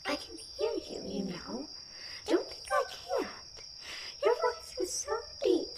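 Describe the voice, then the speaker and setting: A woman's voice: very high-pitched, wildly swooping pitch, slow, very noisy, heavily acted. A woman playing a stubborn, playful young tree nymph in an ASMR fantasy roleplay, theatrical and emphatic in her defiance. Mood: playful, intrigued. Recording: loud chirping forest ambience over voice.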